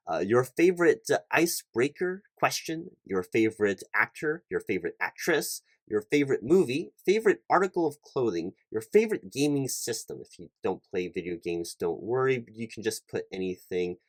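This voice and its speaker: A man's voice: mid-range voice, dry recording, natural voice. A man playing a fussy, officious recruiting manager in a one-sided interview roleplay, rambling and repetitive with pedantic detail. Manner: rambling, repetitive, pedantic, stumbling over long lists. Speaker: ASMR roleplay performer.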